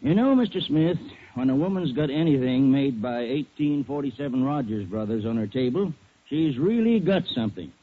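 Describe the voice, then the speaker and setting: An actor playing a plain-spoken, assured American silverware store owner in a 1940s radio commercial. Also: male voice, medium pitch, lively intonation, normal speed, narrow, tinny audio, natural voice. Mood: folksy, assured. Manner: folksy, assured. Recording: thin, faintly noisy 1940s radio recording.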